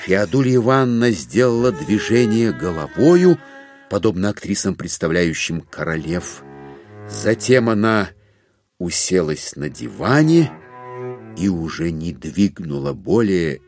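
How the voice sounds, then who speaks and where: Animated, lower-voiced male literary narrator. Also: a little slow, faint music behind. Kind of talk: dramatized literary narration, expressive.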